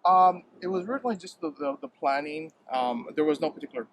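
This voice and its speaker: A man from Hawaii, a home fruit grower, talking in a halting, casual way, often repeating words and filling pauses with um, recorded outdoors in a yard. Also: on the high side, slight background noise, low-quality recording. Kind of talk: casual interview.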